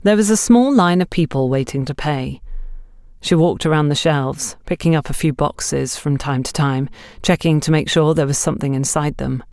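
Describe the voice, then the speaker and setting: A woman's voice: low-pitched, moderate pace, a little mellow, no room sound, studio quality. A woman narrating a scripted mystery story as an audiobook reader.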